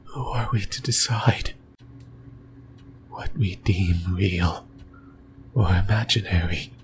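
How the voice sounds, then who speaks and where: Male actor as possessive, lonely demon for whispered ASMR drama; low, halting, breathy, musing, with noticeable background noise. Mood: eerie, musing. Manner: slow, halting, rambling, intimate. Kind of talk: creepy whispered ASMR roleplay monologue. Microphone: close microphone, whispering into the ear.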